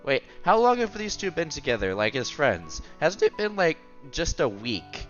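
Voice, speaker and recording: A man's voice: a little high, huge pitch swings, moderate pace, a bit bright, muffled recording. A man streaming a visual novel, reading the text aloud with casual commentary and stumbling over words now and then. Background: game music.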